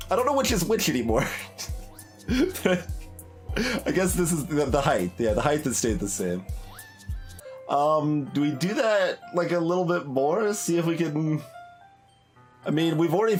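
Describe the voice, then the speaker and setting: A man giving casual live game commentary as a streamer, thinking aloud in a hesitant, musing way and trailing off mid-thought. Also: on the high side. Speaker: streamer, let's play host. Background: game music.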